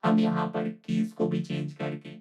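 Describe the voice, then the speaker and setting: A man's voice: normal speed, lo-fi sound, over loud music. A male instructor speaks through a vocoder that turns his tutorial talk into robotic synth chords. The sound is heavily reverberant, with strong musical tones throughout. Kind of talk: tutorial demonstration. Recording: very echoey, synth tones over voice.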